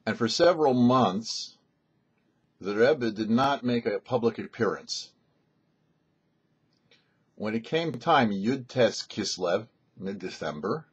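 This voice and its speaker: A man telling a story as a show host, in a measured, deliberate narration with dramatic pauses. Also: mid-range voice, breathy edge. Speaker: rabbi and radio storyteller, host. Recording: dull, muffled lo-fi recording.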